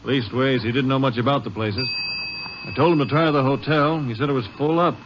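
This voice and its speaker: A man's voice: mid-range voice, fairly even intonation, brisk, close to an everyday voice. A man doing a folksy, hesitant small-town gas attendant. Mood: nervous. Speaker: gas station owner. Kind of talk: 1950s radio drama acting. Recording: noisy lo-fi 1950s radio broadcast.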